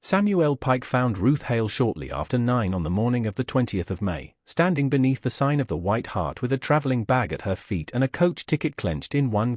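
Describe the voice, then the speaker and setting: A man reading scripted audiobook narration in a measured, literary manner. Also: medium pitch, darkish tone, no room sound, studio quality.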